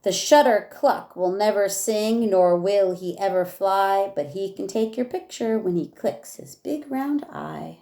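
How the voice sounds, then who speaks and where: A woman teacher reading a rhyming picture book aloud in a measured way, with casual asides. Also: mid-range voice, animated, warm tone.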